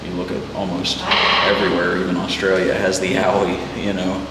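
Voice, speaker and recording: A man working as a park naturalist, chatting casually and explaining things in halting, thoughtful phrases. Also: low voice, monotone, far from the mic, very noisy. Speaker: park naturalist being interviewed. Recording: echoey room with loud music behind.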